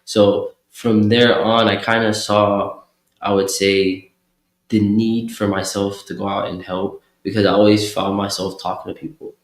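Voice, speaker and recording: A young man speaks in a somewhat low voice, chatting loosely and circling back with fillers and restarts. The room sounds echoey around him. He talks as a guest describing his community work. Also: little pitch movement, unhurried. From Kenya. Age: young adult. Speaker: guest, community program worker. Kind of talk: casual interview chat. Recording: roomy echo.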